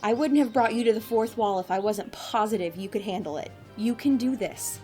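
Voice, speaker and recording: A woman's voice: medium pitch, a little fast, mellow, soft background music, natural voice. A woman playing a commanding, wry mission director in an audio drama, speaking with determination. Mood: earnest, encouraging.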